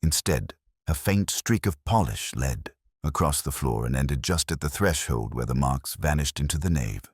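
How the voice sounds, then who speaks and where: Guy reading a detective story, really low husky voice, measured, dry close sound. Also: expressive, no room sound. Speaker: audiobook narrator. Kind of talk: scripted mystery story narration.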